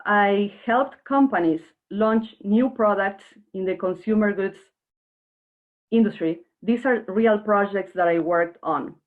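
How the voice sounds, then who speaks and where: A woman from Argentina giving an earnest workshop presentation online, speaking directly to her audience. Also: mid-range voice. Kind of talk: webinar presentation. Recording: narrow phone-like online call audio.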